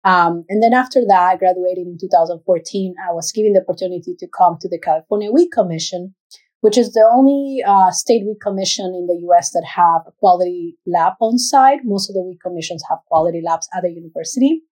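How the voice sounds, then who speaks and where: A woman's voice: on the low side, fairly quick, a little mellow, low-quality recording. A woman from Ecuador with an Ecuadorian Spanish accent, answering conversationally as an interview guest. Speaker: interview guest, executive director.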